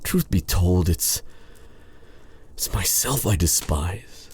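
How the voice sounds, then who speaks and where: Man acting a deep, husky, brooding dark sorcerer. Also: animated, exaggerated voice. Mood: anguished, self-loathing. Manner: halting, formal, intimate, weighted with pauses. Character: brooding, remorseful dark sorcerer, bitter yet tender captor. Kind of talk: dramatic ASMR roleplay addressed to the listener.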